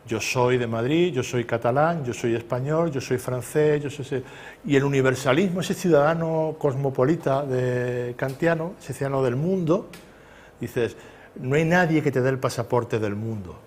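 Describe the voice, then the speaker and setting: Guy lecturing, lots of ehs and restarts, mid voice, bit of hiss. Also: medium pitch. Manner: hesitant, many fillers and restarts. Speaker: conference speaker. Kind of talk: lecture and Q&A answers.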